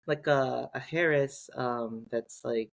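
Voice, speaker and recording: A man's voice: medium pitch, creaky. A man, a Tagalog speaker, chatting as a guest on a podcast with lots of fillers and restarts. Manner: halting, many fillers and restarts. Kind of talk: casual podcast chat.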